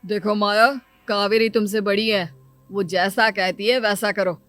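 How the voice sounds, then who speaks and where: A woman's voice: medium pitch, flat delivery, measured pace, fairly bright tone, faint music behind, pitched below the performer's own voice, lightly acted. A woman voicing a stern, authoritative elder brother and father who lectures, in a cartoon moral story. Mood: cold, dismissive.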